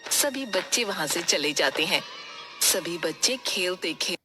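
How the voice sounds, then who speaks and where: A woman's voice: fairly low pitch, lively intonation, a little slow. Woman narrating a children's tale over music. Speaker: storyteller, narrator. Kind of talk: scripted children's story narration.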